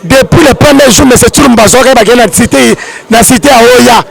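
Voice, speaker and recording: Very high, creaky-voiced Congolese man. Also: a little fast, some chatter behind. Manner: emphatic, repeats points. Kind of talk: impassioned political commentary.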